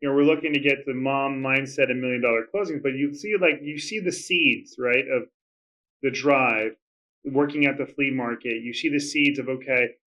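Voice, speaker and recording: A man's voice: medium pitch, fairly even intonation, normal speed, bright, forceful tone, poor audio. A man hosting a podcast interview, encouraging his guest with lots of "you know" and short affirmations. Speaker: podcast host. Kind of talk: casual interview conversation.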